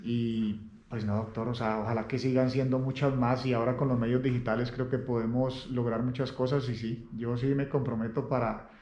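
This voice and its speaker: A Latin American man hosting an interview, talking sincerely and haltingly with many fillers. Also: medium pitch, flat delivery, a little mellow, dull audio. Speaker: host. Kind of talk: interview conversation. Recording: roomy echo.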